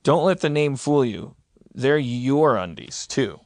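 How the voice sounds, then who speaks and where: A man doing a conversational, comedic sponsor read, improvising it in halting phrases. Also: medium pitch, expressive, dry recording. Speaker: show host in sponsor read.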